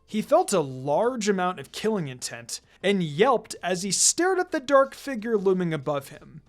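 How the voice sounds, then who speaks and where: A narrator reading fan fiction aloud in an expressive, scripted storytelling style. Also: medium pitch, moderate pace. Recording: music behind the voice. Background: background music.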